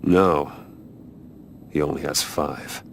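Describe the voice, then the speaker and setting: Game role: brooding, serious fighter, bottomless low register, noticeable noise behind him. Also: male voice, steady tone, vocal fry, full character voice. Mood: grave. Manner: measured, serious. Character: calm, brooding warrior man, terse and analytical. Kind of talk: dramatic video game cutscene acting.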